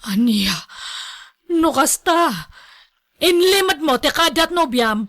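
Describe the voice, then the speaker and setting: A woman's voice: high voice, sing-song, slow pace, a bit bright, exaggerated voice. A woman with a Filipino accent playing a shocked, scolding mother in a radio drama, speaking indignantly. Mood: shocked, angry. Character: shocked, scolding mother of a grown student son.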